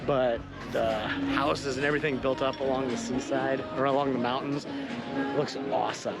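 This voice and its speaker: A man's voice: medium pitch, fairly even intonation, moderate pace, gravelly, rough recording. A young adult man, a father, chatting casually to camera as a travel vlogger. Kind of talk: casual vlog chat. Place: mostly outdoors in busy city spots. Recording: music and crowd noise behind voice. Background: music, crowd, street sounds.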